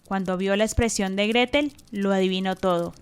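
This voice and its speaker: A woman's voice: mid-range voice, moderate pace, clear, resonant tone. A woman narrator telling a scripted story for a radio drama.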